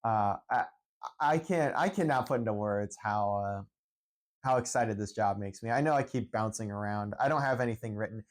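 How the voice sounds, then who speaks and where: A young man from Central Pennsylvania with a fairly low voice talks off the cuff into his phone. He rambles, restarts sentences and leans on "um" and "like" as he goes. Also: moderate pace. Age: young adult. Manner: rambling, many restarts and fillers. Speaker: esports head coach. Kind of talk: unscripted vlog monologue.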